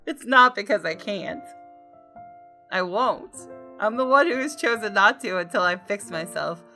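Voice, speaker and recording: A woman, a gaming streamer reading visual novel narration aloud in an expressive, dramatic way. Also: medium pitch, unhurried. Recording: music behind the voice. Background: game music.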